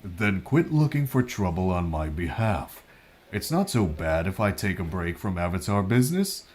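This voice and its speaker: A man playing a fatherly, good-humoured monk in an animated visual novel. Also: sits low, moderate pace. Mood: fond teasing. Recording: music behind the voice.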